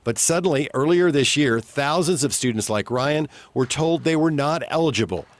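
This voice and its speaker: A man's voice: fairly high pitch, animated, normal speed, no echo. An American man working as an investigative TV reporter, reading a scripted news report narration.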